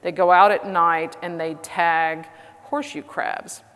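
Middle-aged American woman, conference speaker, lowish voice, casual and upbeat, long hall reverb. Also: steady tone, unhurried, fairly bright tone, clear, resonant tone. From United States. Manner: casual, enthusiastic presenting with fillers. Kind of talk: conference presentation.

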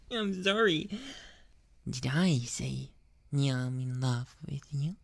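Slow, whispery neko boy, voiced by a woman. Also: extremely low pitch, lively intonation, no room sound, exaggerated voice. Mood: lovestruck, intense. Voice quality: breathy whispering. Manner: slow, lingering, obsessively affectionate. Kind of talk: ASMR roleplay, soft and whispery.

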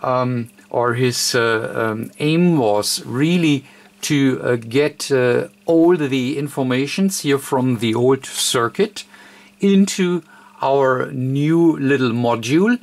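A man talks through a technical explanation at a slow pace, with a medium-pitched voice. He is unscripted and halting, pausing often as he works out each step like an electronics tutor. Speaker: electronics repair presenter.

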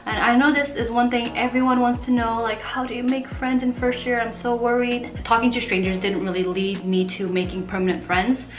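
A young woman from Portugal, talking casually to camera in an animated, chatty vlog style while gesturing with her hands. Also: a little high, fairly quick, hardly any pauses, echoey room, music in the background. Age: young adult. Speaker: vlogger.

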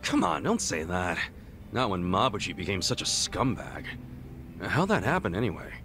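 A man's voice: low-pitched, very animated, moderate pace, over music. A man voicing a blunt, earnest, streetwise middle-aged underdog in a video game, slangy and frank. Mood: incredulous. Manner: blunt, slangy, incredulous questioning. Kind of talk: video game cutscene dialogue acting.

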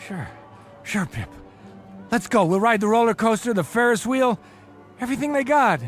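A man's voice: very high voice, lively intonation, moderate pace, faint music behind. An American man playing a guilt-ridden, weak small-time con man father in a radio drama, emotional, hesitant and pleading. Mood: delighted surprise.

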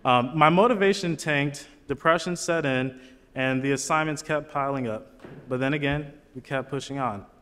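A man's voice: mid-range voice, normal speed, fairly bright tone, clean tone. A young man from Chicago giving a student commencement speech at a lectern on a large auditorium stage, earnest and chatty with asides to the crowd. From Chicago, USA. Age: young adult. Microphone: lectern microphone. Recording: long hall reverb.

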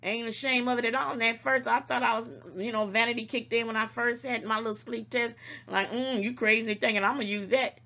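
A woman's voice: fairly high pitch, flat delivery, fairly quick, sharp tone, highs cut off. An older woman hosting an online talk show, scolding and preaching to her viewers and calling them baby, at times a little congested. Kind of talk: casual commentary to viewers.

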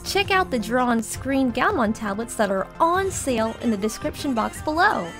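A woman's voice: on the high side, big pitch swings, moderate pace, over music. A woman channel host reading a scripted promotional narration for a sponsor.

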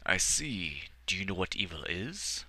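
A man voicing a wise old elf mage who speaks in a measured, formal and gentle way. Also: sits low, very animated, no room sound, exaggerated voice.

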